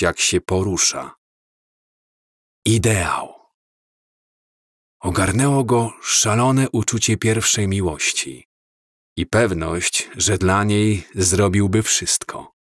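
A man narrating an audiobook story in a slow, measured, calm reading style. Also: lowish voice, dry recording, clean studio sound.

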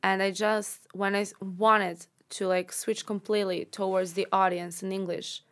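A woman's voice: mid-range voice, flat delivery, normal speed, fairly bright tone. Earnest young Serbian-accented woman. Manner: earnest, enthusiastic, searching for words. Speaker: podcast guest, life coach. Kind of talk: casual podcast interview. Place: small dark podcast studio. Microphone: studio microphone with headphones.

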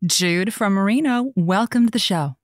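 A woman's voice: mid-range voice, a little slow, no echo, studio quality, natural voice. A woman playing an earnest, effusive radio advice host in a semi-scripted audio drama, gushing and theatrical. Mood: welcoming.

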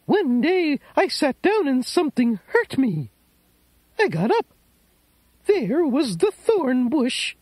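A reader doing a shrill fretful old lady. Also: very animated, slow, a little mellow, dry recording, exaggerated voice. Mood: worried. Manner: shrill, exclaiming. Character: tearful, doting old lady. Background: music.

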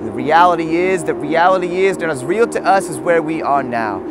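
A man's voice: on the high side, animated, normal speed, noisy background. A young man performing a rhyming spoken word poem in an earnest, urgent rhythm, as a voice-over. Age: young adult. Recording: voice-over over loud music.

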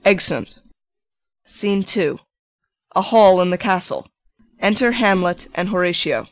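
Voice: medium pitch, animated, unhurried, dry recording, low-quality recording. A narrator reading stage directions in a plain, scripted style.

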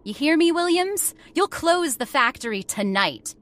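An actress playing an earnest, headstrong factory heiress in a radio horror drama, with an emphatic, theatrical delivery. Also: female voice, high voice, sing-song, unhurried, a bit bright, exaggerated voice. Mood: shaken, commanding. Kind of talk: old-time radio horror drama acting.

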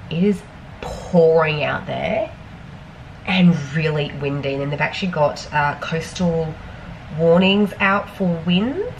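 An Australian woman in her thirties talks through her week in a relaxed, rambling way, with plenty of ums along the way. Her voice sits low, and she sounds sniffly, as if she is losing her voice. A faint hum sits behind her in a home kitchen. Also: vocal fry, low-quality recording. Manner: chatty, rambling, frequent ums. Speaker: vlogger. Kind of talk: casual grocery haul vlog.

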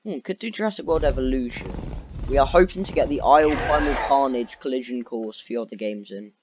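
A man giving casual gameplay commentary as a video host, sounding tired and low on energy with a dry, sarcastic manner. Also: brisk, muffled recording. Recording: steady background noise with clicks.

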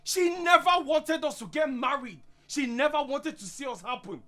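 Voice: mid-range voice, animated, fairly quick, sharp tone. An actor playing a proud, defensive, bitter husband in a screen drama, with a sarcastic, self-justifying way of talking. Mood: indignant. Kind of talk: naturalistic screen drama acting.

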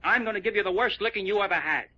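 Hot-headed, fast-talking young rancher. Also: male voice, high-pitched, bright, forceful tone, breathy edge, full character voice. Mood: furious. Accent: American. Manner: blustering, doubtful. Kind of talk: old-time radio drama acting. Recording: muffled vintage radio recording.

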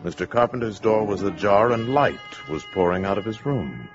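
A man's voice: fairly low pitch, animated, moderate pace. A man with an American accent narrating a 1950s science fiction radio drama in a dramatic, suspenseful way. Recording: muffled 1950s radio recording, music behind.

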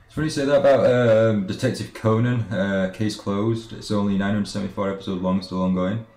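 A man streaming a video game, chatting casually and haltingly with viewers as he plays, often trailing off and restarting. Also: fairly low pitch, steady tone, a little mellow. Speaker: let's play streamer. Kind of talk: casual live game commentary and chat with viewers. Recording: roomy echo, game music behind. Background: video game music.

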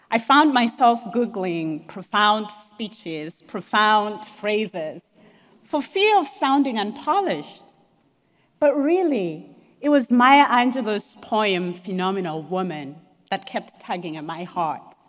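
A woman giving a heartfelt, expressive graduation speech at a podium in a large hall. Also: medium pitch. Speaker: graduating student speaker. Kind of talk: commencement speech. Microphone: podium mics. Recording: long hall reverb.

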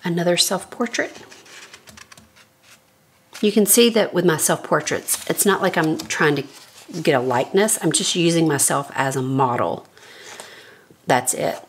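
A middle-aged woman with a low, scratchy, gravelly voice walks through her sketchbook in a relaxed, thinking-aloud way. She chats casually from her home studio, with a faint hiss under her voice. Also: a little slow, long pauses. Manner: relaxed, thinking aloud while flipping pages. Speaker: artist giving a sketchbook tour. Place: home art studio, indoors. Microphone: overhead camera, speaker off-frame.

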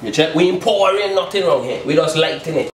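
A playful, chatty man walks through a recipe in a small home kitchen. His voice sits a little high for a man and comes from a camera some distance away. The room adds a roomy echo, with faint background hiss. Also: animated, brisk. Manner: playful and chatty, tags lines with "you check?". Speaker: home cook host. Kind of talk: casual cooking demonstration. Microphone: camera mic at a distance.

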